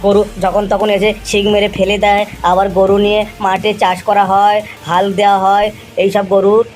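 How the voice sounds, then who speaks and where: A woman's voice: medium pitch, little pitch movement, normal speed, over music, natural voice. A woman voicing a cocky, simple village pupil in an amateur animal cartoon comedy. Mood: earnest reciting.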